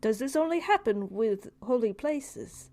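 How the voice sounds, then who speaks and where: A woman playing an earnest, dutiful bodyguard in a tabletop game, speaking in a measured, slightly formal way. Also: fairly high pitch, lively intonation, mellow, faint music behind. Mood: earnest, disapproving. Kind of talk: tabletop roleplay.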